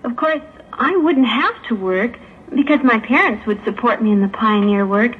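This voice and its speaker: A woman's voice: on the high side, normal speed, husky. A woman playing a sympathetic, conscientious young woman in a 1960s religious audio drama. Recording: muffled, hissy 1960s tape, phone-like.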